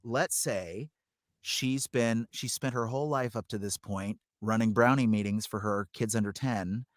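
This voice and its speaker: A man's voice: fairly low pitch, unhurried, no room sound, studio quality. A man talking as a voice actor and coach in an interview, telling stories conversationally and animatedly. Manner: animated, acts out examples as he explains.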